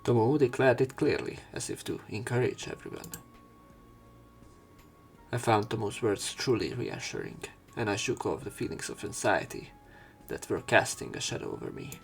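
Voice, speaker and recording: A man's voice: fairly low pitch, expressive, moderate pace, gravelly, soft background music. A man narrating a visual novel as a let's player, reading the story and lines aloud.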